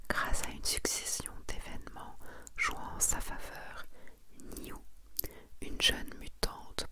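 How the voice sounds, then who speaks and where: Whispering woman, soft breathy ASMR voice. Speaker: host of an ASMR manga collection video. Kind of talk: whispered ASMR presentation, reading summaries and commenting.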